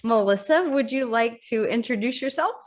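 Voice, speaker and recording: A woman's voice: a little high, moderate pace. A woman hosting an author interview, upbeat and stumbling over repeated words. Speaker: interview host.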